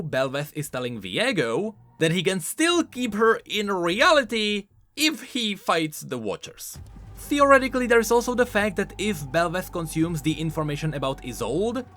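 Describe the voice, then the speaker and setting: A man hosting a game lore video essay, explaining in an expressive, informal and emphatic way, scripted but with casual asides. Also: on the high side. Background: background music.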